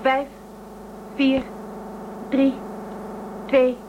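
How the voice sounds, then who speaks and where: A woman's voice: high voice, completely flat delivery, extremely slow. An actress playing a composed, practical shuttle co-pilot in a science fiction radio drama, precise in her speech. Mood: focused, tense. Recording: dull, very noisy craft ambience.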